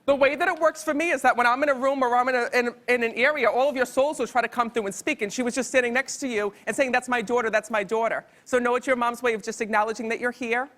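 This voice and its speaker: A woman's voice: on the high side, fairly even intonation, fast talker, hardly any pauses, fairly bright tone. A woman speaking as a psychic medium, giving a spontaneous reading in an explanatory, reassuring manner. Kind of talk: spontaneous spiritual reading to a listener.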